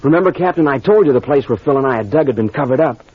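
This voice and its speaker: Inquisitive young man, insistent, 1940s radio drama delivery, slightly rough voice, muffled recording. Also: mid-range voice, animated, racing. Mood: puzzled, insistent. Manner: insistent, questioning. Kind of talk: 1940s American radio mystery acting.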